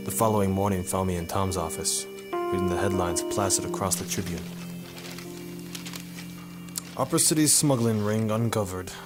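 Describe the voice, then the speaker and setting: A man's voice: low voice, a little fast, music in the background, lightly acted. A man playing a cocky war photographer turned sleuth in a hard-boiled 1950s noir radio drama, with a dry, wisecracking delivery. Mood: brooding narration.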